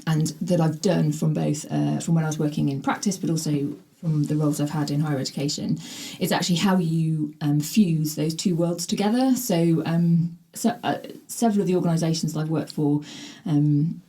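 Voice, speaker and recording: Hesitant, soft-toned woman. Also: low-pitched. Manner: hesitant, halting, many filler sounds. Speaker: podcast guest. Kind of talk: casual interview. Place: podcast studio.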